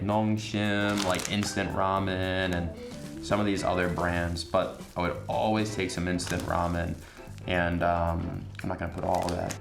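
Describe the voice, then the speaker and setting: A young man from southeastern Massachusetts, a guest chatting casually in a studio about food and snacks, relaxed and chatty with fillers. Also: deep voice, creaky, echoey room. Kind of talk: casual chat, snack tasting.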